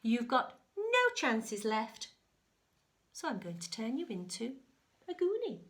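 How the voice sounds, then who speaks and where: A woman's voice: a little high, wildly swooping pitch, slow pace, fairly bright tone, full character voice. A woman voicing a stern good fairy from a children's storybook, scolding in an emphatic sing-song way.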